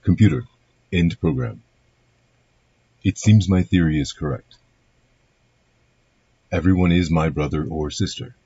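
A man plays a logical half-human science officer in a radio play. The voice is very low and a little rough, with formal, deliberate, deadpan delivery. The recording is slightly dull and lo-fi. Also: exaggerated voice. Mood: matter-of-fact. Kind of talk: scripted amateur radio play.

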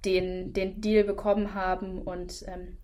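A woman's voice: medium pitch, flat delivery, a little slow, darkish tone. A woman co-hosting a casual review chat, voicing firm opinions with frequent "ähm" fillers.

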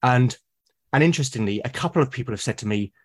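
A man from Britain, a podcast guest, talking eagerly about his characters, with lots of restarts and a slightly husky voice. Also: mid-range voice, expressive, fast. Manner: enthusiastic, rambling with many restarts. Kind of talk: casual podcast conversation.

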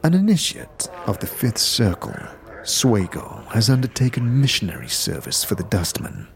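A man voicing a grave, stony leader of a fantasy faction in an audio drama, speaking in measured, formal, terse sentences. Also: on the low side, huge pitch swings, vocal fry, exaggerated voice. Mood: stern, solemn. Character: grave, stony, patient male faction elder.

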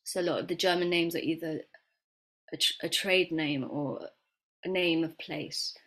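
Woman herbalist guest, raised in Florida then England, lower voice, reflective and unhurried. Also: fairly even intonation, a bit bright. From grew up in Florida, then England. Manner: reflective, hesitant storyteller with many fillers and restarts. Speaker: guest, herbalist and medicine maker. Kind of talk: conversational interview.

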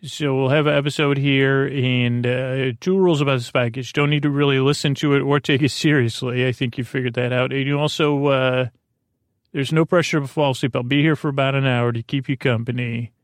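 A man with a creaky voice hosts a bedtime podcast, rambling soothingly and hesitantly, often correcting himself as he meanders. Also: medium pitch, fairly even intonation, brisk, no echo, studio quality. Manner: meandering, hesitant, lulling delivery with self-corrections. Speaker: podcast host.

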